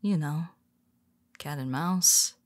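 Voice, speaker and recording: A woman playing a weary, teasing female superhero who speaks softly to her nemesis, often dropping to a whisper. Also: low voice, takes it slowly, no room sound. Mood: wry teasing. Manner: teasing, soft-spoken, slips into whispers. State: tired. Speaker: audio roleplay performer. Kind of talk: intimate audio roleplay.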